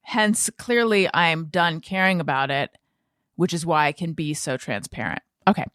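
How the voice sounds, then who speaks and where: A woman's voice: sits low, moderate pace, fairly bright tone, dry recording, studio quality. An American woman hosting a podcast in a casual, rambling, wry and mock-serious way. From United States (Orange County, California). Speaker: podcast host. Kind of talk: casual podcast monologue and interview chat.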